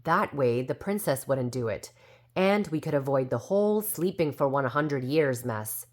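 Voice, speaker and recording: A woman's voice: a bass voice, lively intonation, unhurried, darkish tone, heavily acted. A woman voicing a very old, indignant but well-meaning fairy who tells her own storybook tale defensively and with self-assurance. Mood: earnest. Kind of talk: storybook character narration.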